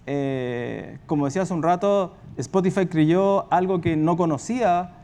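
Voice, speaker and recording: A man with a Chilean accent and a fairly high voice speaks off the cuff, as on a discussion panel. He rambles colloquially, telling things as anecdotes with many side remarks. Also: a little slow, mellow. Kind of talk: spontaneous panel talk.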